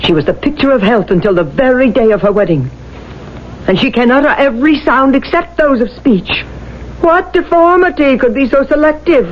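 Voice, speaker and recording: An actress playing a sharp, scornful, practical sister in a 1970s radio drama, speaking in a stern, theatrical way. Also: female voice, high-pitched, lively intonation, normal speed. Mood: scornful, indignant. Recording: dull, noisy old radio recording.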